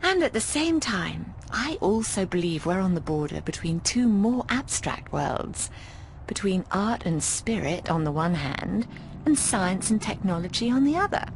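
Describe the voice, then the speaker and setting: Casting: middle-aged British landlady, fond and cheeky, animated delivery, game dialogue over noisy ambience. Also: mid-range voice, a little slow. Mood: musing, proud. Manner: affectionate, frank, cheeky, often calls people darling. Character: warm, bawdy middle-aged British landlady of a boarding house. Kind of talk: video game voice acting.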